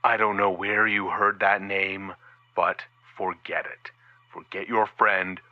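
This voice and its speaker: An actor playing a gruff, guarded middle-aged private investigator who warns a caller off curtly over the phone. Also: male voice, sits low, level tone, slow pace, no echo, dull audio. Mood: stern. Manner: curt, warning, guarded.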